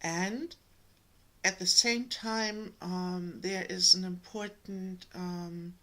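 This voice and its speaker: A woman from Germany with a German accent, giving a slow, gentle spiritual talk to her viewers, pausing often. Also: fairly low pitch, fairly even intonation. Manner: slow, gentle, with many pauses and ums. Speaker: spiritual coach.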